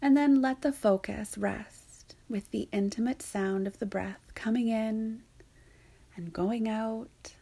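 Slow, breathy woman guiding meditation. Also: fairly high pitch, steady tone. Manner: soft, soothing, breathy delivery with long pauses. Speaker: yoga nidra teacher guiding a practice. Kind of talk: guided meditation narration.

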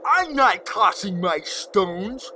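A confused young slacker acted by a man speaks high, with lots of bouncing pitch. He is slangy and stammers in comic audio-drama fashion, with music playing underneath. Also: lively intonation, slow. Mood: sheepish. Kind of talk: comedic audio drama acting.

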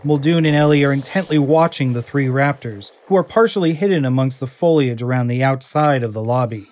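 A man reading stage directions as narrator of a fan audio drama in an even, descriptive way. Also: medium pitch, fairly quick.

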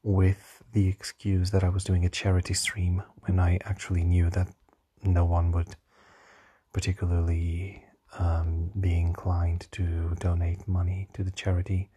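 A tired young man with a slightly hoarse voice, rambling hesitantly through a casual diary vlog with many fillers and restarts. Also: sits low, monotone, measured pace, dark tone. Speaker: vlogger.

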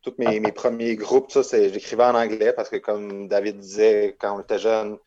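A man's voice: fairly low pitch, flat delivery, normal speed, warm tone, lo-fi sound. A man with a Québécois accent, a musician guest reflecting earnestly in a casual interview.

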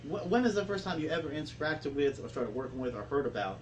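A man's voice: on the high side, big pitch swings, fast, distant mic, background noise. A man hosting an interview, giving short encouraging responses and asking questions. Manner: brief encouraging backchannels. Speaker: interview host. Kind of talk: radio-style interview.

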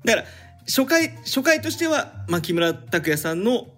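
A man's voice: very high-pitched, big pitch swings, normal speed, a bit bright, soft background music. A middle-aged man co-hosting a casual podcast, mostly backing the others up with short agreeing replies. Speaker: podcast co-host. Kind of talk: casual chat.

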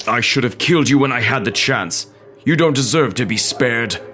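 An actor playing a menacing, lovesick phantom of the opera in a radio drama, brooding and theatrical. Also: male voice, medium pitch, lively intonation, moderate pace, sharp tone, soft background music. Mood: angry, possessive. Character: obsessive, menacing opera phantom, possessive man in love.

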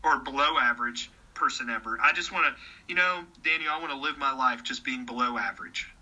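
A man in his thirties talks with real conviction in an easygoing chat. His voice is strikingly bright and forceful, and he often repeats words as he gets a sentence going. The recording sounds slightly dull and lo-fi. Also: medium pitch, brisk. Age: 30s. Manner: earnest, repeats words when starting sentences. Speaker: podcast guest. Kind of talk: casual conversation.

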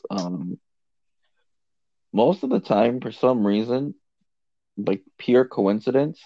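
A man in casual chat, blunt and argumentative, dismissing claims flatly. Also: medium pitch, slow, no room sound, muffled recording.

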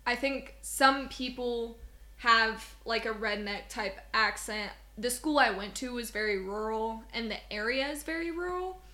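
A young woman from Southwest Missouri co-hosts a podcast, talking casually and loosely with frequent laughs. Her voice is bright and sits a little high. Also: measured pace. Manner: chatty, rambling, laughs often. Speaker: podcast co-host.

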